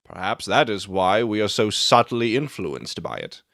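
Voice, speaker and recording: A man playing a self-assured gentleman detective in a dramatic audiobook, theatrical and articulate as he expounds his deductions. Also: lowish voice, big pitch swings, measured pace, dry recording, studio quality, heavily acted. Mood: musing, assured.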